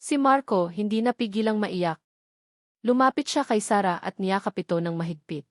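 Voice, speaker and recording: A woman's voice: mid-range voice, normal speed, no echo. Filipino woman narrator, dry close sound. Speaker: audiobook narrator. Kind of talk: scripted romantic story narration.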